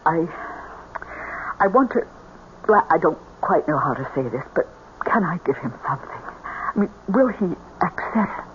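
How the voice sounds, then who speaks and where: A woman's voice: lowish voice, expressive, measured pace. An actress playing a lonely, gullible middle-aged mother in grief, tremulous, pleading and hesitant, in an old radio drama. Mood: meek, grateful. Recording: dull, noisy old radio broadcast.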